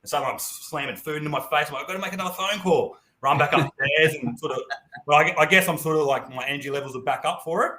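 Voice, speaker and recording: A quick-talking Australian man with a bright, forceful voice. He chats loosely and informally on a live stream, recorded in an echoey room. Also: a little high. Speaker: co-host, member of a property team. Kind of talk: casual chat. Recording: roomy echo.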